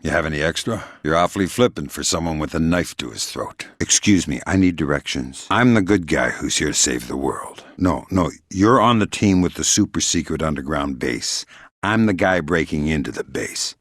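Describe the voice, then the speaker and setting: Game spy operative: middle-aged, gruff, very low register, sardonic and clipped, dry studio sound. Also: male voice, moderate pace, no echo, heavily acted. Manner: terse, dry, deadpan sarcasm. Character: hardened, sardonic male covert spy operative. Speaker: video game voice actor. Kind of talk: video game character dialogue.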